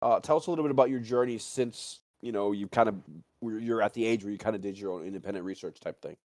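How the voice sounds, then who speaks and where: A man's voice: fairly low pitch, quick pace, a little mellow, no room sound, studio quality. A man hosting a casual talk stream, interviewing a guest in a rambling way and often circling back to rephrase his questions. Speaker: stream host.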